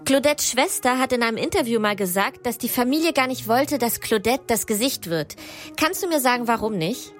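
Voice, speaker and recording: A woman's voice: fairly high pitch, expressive, fairly quick, soft background music. A woman hosting a podcast, moving between scripted narration and addressing guest and listeners. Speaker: podcast host.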